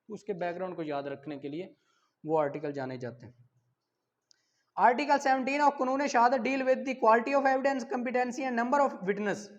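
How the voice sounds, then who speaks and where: A male teacher with a Pakistani accent and a notably high voice. He lectures in a didactic way, posing questions, answering them and repeating key terms. The recording carries a long, echoing reverb. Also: lo-fi sound. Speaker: law teacher. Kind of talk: exam-prep lecture, reading questions and explaining.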